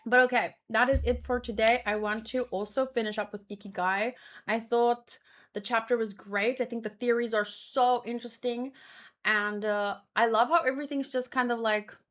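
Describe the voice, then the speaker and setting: A woman's voice: on the high side, steady tone, normal speed, a bit bright. A woman chatting casually as a streamer, thinking aloud in a rambling, exclamatory way. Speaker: manga read-along streamer.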